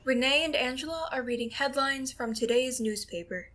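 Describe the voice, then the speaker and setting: A woman narrator reads stage directions for a radio play. Her voice sits fairly high, with slight noise in the recording. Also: normal speed, fairly bright tone. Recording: faint background noise.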